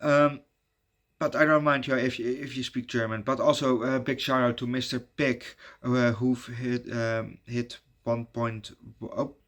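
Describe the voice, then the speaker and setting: A Dutch man, native Dutch speaker, hosting his channel in halting casual chat full of fillers and restarts. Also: mid-range voice, little pitch movement, fairly bright tone. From Netherlands. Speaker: YouTube reviewer giving shout-outs.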